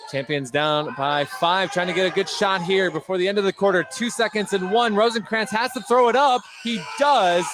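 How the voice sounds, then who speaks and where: A man doing live play-by-play radio commentary of a basketball game. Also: high-pitched. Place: indoor high school gym during a game. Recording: noisy gymnasium with bouncing basketballs. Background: game noise, bouncing basketballs.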